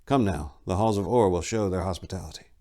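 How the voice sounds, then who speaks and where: A man voicing an ancient, wizened male abbot who speaks in a measured, solemn, questioning way for a fantasy story. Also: sits low, dark tone, natural voice. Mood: calm, welcoming. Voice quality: wizened, aged voice.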